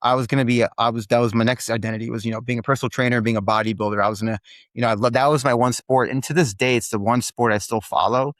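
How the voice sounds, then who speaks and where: A man's voice: mid-range voice, fairly even intonation, racing, fairly bright tone, no echo, clean studio sound. A man in his forties, a podcast guest telling his life story candidly and with self-deprecating humor, often restarting his sentences. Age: 40s.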